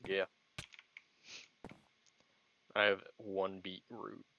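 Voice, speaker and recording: A man's voice: low-pitched, little pitch movement, unhurried, gravelly, dull audio, slight background noise. A young man playing a game with a teammate, answering briefly and asking easygoing questions. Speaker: speedrunning teammate. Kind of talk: casual gaming chat.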